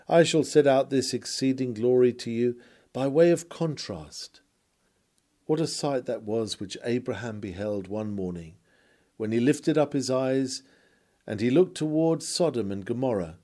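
A man reading a sermon aloud in a solemn, earnest, oratorical manner with dramatic pauses. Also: medium pitch, mellow. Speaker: sermon reader.

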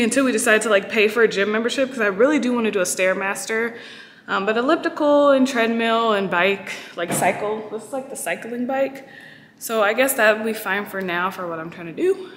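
A woman's voice: on the high side, a bit bright. A young woman vlogging in an apartment gym, out of breath and chatty, poking fun at herself. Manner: chatty, self-mocking. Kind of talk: casual vlog chat. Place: large apartment-complex gym, indoors. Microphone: handheld camera at arm's length. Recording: echoey room, from off the mic.

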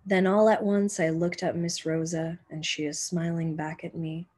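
A young woman, a teacher reading a children's picture book aloud expressively, with deliberate dramatic pauses and emphasis. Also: fairly low pitch, little pitch movement, darkish tone. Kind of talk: expressive children's storybook narration.

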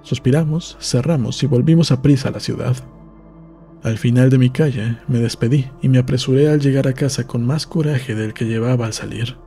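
A man reading a novel aloud as an audiobook narrator, in a calm, measured storytelling manner. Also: mid-range voice, soft background music.

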